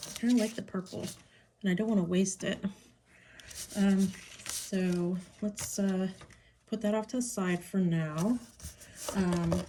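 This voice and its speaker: A woman with a Pittsburgh accent walks through a craft project, taking her time, pausing often with ums and breaking into delighted remarks. Her speech moves slowly. A clear hiss and clicking sit under her voice from the home craft room. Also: mid-range voice. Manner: unhurried, chatty, many ums and pauses, delighted asides. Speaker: craft tutorial host. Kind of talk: casual crafting tutorial.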